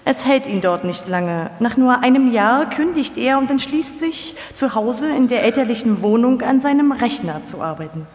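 A woman's voice: a little high, lively intonation, moderate pace, few pauses, a little mellow. A woman delivering scripted documentary narration in a live radio feature. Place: live stage performance in a hall. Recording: echoey hall, long reverb.

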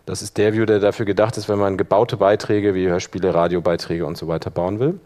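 A man teaching a live software workshop, explaining step by step in an informal spoken style. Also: deep voice, little pitch movement, fast talker. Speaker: workshop instructor. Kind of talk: live software demonstration to an audience.

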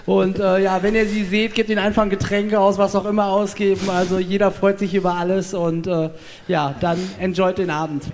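A man's voice: high-pitched, little pitch movement, fairly quick, darkish tone. A man hosting a live event, making casual announcements from the stage. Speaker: stage host. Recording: live stage, crowd, faint noise.

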